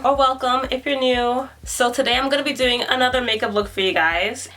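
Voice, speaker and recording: A woman's voice: on the high side, pushed and bright. A young woman talking through a makeup tutorial at home, chatty and self-deprecating, thinking aloud as she works on camera. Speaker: beauty vlogger.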